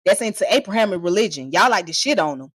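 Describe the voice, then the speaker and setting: A woman's voice: mid-range voice, animated, quick pace, slightly rough, no echo. A woman streamer delivering a heated, profane and mocking rant straight to her viewers, with scornful laughs. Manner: confrontational, addressing viewers directly. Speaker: online streamer. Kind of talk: ranting live commentary.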